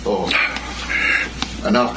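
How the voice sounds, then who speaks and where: An actor playing a gentle, hesitant elderly father in a radio drama. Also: drawn-out words, very noisy. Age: older. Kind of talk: broadcast radio drama acting.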